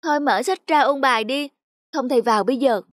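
A woman's voice: sits high, fast talker, close to an everyday voice. A woman voicing a restless young schoolboy in a cartoon folktale, childlike and complaining. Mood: bored, grumbling.

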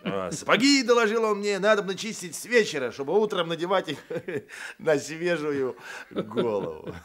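An actor playing a boastful, sly Cossack constable in a radio play, spinning yarns in rustic colloquial speech. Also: male voice, high voice, very animated, moderate pace, a bit bright. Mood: boastful storytelling.

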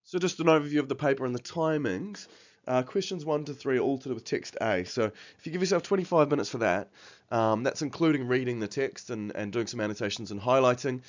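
A man's voice: mid-range voice, fairly quick, lo-fi sound. A man with a cold and a somewhat nasal voice, giving an exam revision lesson over slides, with many ums and uhs. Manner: many fillers like um and uh, restarts. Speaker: teacher.

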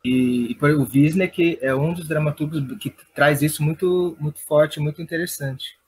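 A man's voice: on the high side, steady tone, normal speed, slightly rough, poor audio. A man talking casually and enthusiastically as an interview guest, in an informal way. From Brazil. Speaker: guest, playwright and theatre director.

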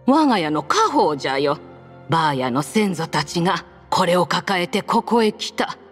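A doting old grandmother acted by a woman for a game, with a lively, swooping delivery. Long reverb trails each phrase and music plays underneath. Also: on the low side, normal speed, natural voice. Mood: fond, wistful. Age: older. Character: doting elderly grandmother passing on an heirloom. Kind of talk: Japanese game voice acting.

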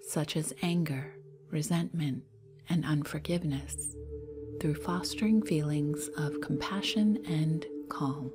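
A woman guides a meditation in a low-pitched, half-whispered voice. Her delivery is very slow and soothing, with long pauses between phrases. Music plays clearly behind her.

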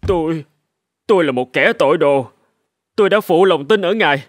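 Man playing higher-voiced repentant cartoon schemer. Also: lively intonation, normal speed, no room sound, clean studio sound, close to an everyday voice. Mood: ashamed, pleading. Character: scheming greedy male thief turned ashamed, pleading sinner. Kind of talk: dubbed cartoon morality-tale dialogue.

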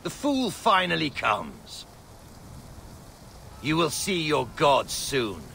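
An actor playing a menacing, mocking villain in a video game cutscene. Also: male voice, fairly high pitch, huge pitch swings, takes it slowly, gravelly, bright, forceful tone, a little hiss or hum, exaggerated voice. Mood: taunting. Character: menacing, mocking villain taunting his enemy.

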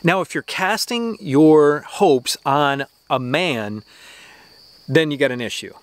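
A man's voice: on the high side, slow pace, no room sound. A man in his thirties, host of a homesteading channel, talking earnestly to the camera in his backyard garden with a preachy, exhortative manner and emphatic repetition. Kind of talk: earnest faith-based vlog talk to camera.

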